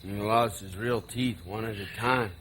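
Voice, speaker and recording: An actor playing a taunting, bitter younger brother in a stage play, with a sarcastic, theatrical delivery. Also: male voice, lowish voice, fairly even intonation, measured pace, a bit bright, a little hiss or hum. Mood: bitter storytelling. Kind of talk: live stage play acting.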